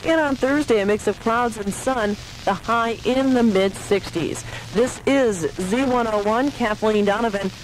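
A woman's voice: a little high, measured pace, low-quality recording. A woman disc jockey reading announcements and weather on 1980s local radio. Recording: 1980s radio aircheck, faint noise.

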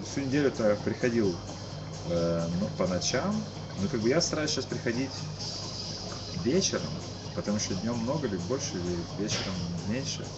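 Halting, tired young man outdoors. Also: lowish voice, noisy background. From Kyiv, Ukraine. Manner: hesitant, halting, many restarts. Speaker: interviewee, musician. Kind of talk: casual live-stream interview. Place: outdoors at a street protest camp. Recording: lo-fi stream with loud outdoor ambience.

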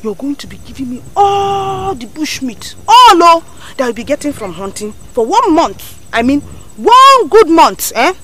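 A young woman acting as a teasing Nigerian village girl, scheming and sassy; high, lively voice, sing-song and coaxing. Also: a little slow, full character voice. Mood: insistent. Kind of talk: Nollywood-style drama acting.

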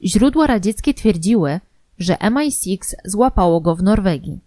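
A woman reading a scripted documentary narration in a measured, formal manner. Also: mid-range voice, lively intonation, mellow, no room sound.

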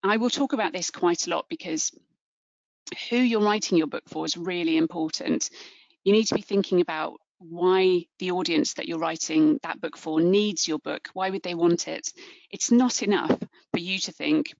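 A woman's voice: on the low side, moderate pace, gravelly, no room sound. An Englishwoman, middle-aged, giving an earnest, encouraging webinar talk as a book publisher over a video call, with frequent ums and restarts. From England. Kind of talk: webinar presentation. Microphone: video call webcam. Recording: dull lo-fi webcam audio.

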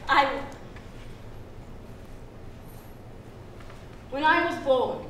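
A middle-aged woman playing a free young woman who solemnly recounts her birth at sea in a measured, halting stage monologue. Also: sits high, fairly bright tone. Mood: solemn, tender. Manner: measured, halting, deliberate storytelling. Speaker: actress. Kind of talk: dramatic stage reading, solo storytelling monologue. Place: theatre stage. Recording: roomy stage pickup, distant, hissy ambience.

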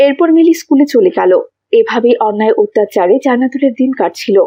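A woman's voice: a little high, expressive, fast, mellow, no room sound, lo-fi sound. A woman narrating a scripted moral story for children.

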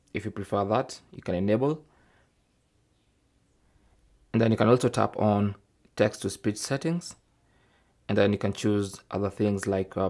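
A man's voice: fairly low pitch, darkish tone. A man presenting a tech tutorial, narrating step by step in a methodical, informal way. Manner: methodical, informal, often tags phrases with "okay".

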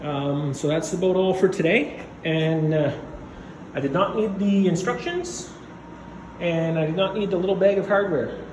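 A man's voice: a little high, darkish tone. A man casually narrating his own basement repairs while filming on his phone, rambling and matter-of-fact with many fillers. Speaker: DIY home renovator. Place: concrete basement. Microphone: phone camera held while walking. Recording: echoey basement with background noise.

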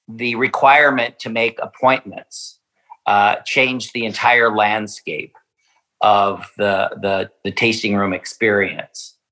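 A man's voice: medium pitch, sharp tone, poor audio. A man from a winery speaking in a podcast interview, hesitant, with many fillers and restarts. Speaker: winery representative.

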